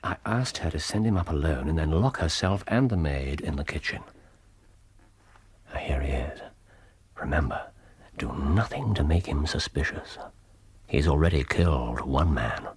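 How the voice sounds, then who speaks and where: An actor playing a brilliant, self-assured Victorian detective in a British radio drama, with an English accent and a theatrical, erudite, precise delivery. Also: male voice, rumbling low, expressive, a little slow, background noise, exaggerated voice. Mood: hushed urgency.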